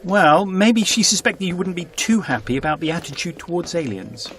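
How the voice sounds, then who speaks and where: An actor playing a sceptical, puzzled travelling companion from Britain in an audio drama, dry and questioning. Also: male voice, high voice, normal speed, a bit bright, slight background noise. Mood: puzzled, curious. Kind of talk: full-cast audio drama acting.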